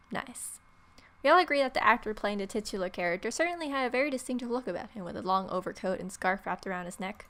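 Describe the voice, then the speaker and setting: A woman's voice: medium pitch, a bit bright. A woman reading story text aloud as a let's player, with irreverent, sarcastic asides.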